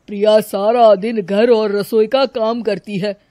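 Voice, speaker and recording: Cartoon son pleading with his mom, a woman voicing him, slow, dry. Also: mid-range voice, fairly even intonation, dark tone, no room sound, natural voice. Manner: pleading, complaining. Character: complaining young husband and son.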